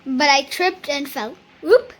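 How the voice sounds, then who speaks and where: Little kid reading a picture book out loud, super slow n careful, bright voice, bit of music behind. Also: high-pitched. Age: child. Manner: halting, careful beginner reader.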